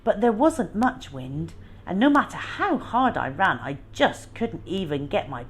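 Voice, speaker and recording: A woman voicing a wistful old lady who recalls her lonely childhood in a measured, reflective storybook manner. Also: lowish voice, sing-song, husky, exaggerated voice. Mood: wistful reminiscing.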